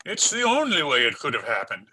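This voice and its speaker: An actor playing a distraught older police sergeant in an old-time radio drama, halting and repeating himself in grief. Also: male voice, medium pitch, huge pitch swings, bright, forceful tone, husky, heavily acted, low-quality recording. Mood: subdued, grieving. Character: anguished, shaken older Irish police sergeant, grieving uncle.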